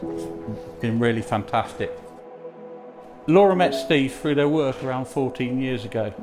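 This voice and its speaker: An older man giving a measured, proud father-of-the-bride speech at a wedding. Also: medium pitch, animated. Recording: loud music laid over the speech. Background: music.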